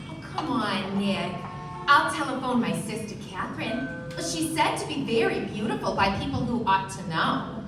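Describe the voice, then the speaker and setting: Woman acting brassy gushing married mistress in a radio play, high voice, super echoey far off. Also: animated, normal speed, over music, close to an everyday voice. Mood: gushing, flirty. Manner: theatrical, gushing storytelling. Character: brassy, tipsy, lovestruck married mistress, defiant and loud. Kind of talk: live 1920s-set radio play acting. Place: theatre stage before an audience. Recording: roomy, noisy stage recording from far off.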